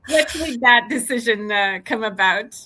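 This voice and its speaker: A woman's voice: a little high, lively intonation, moderate pace, pushed and bright. A woman hosting an interview in a conversational, supportive and encouraging way. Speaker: podcast interviewer.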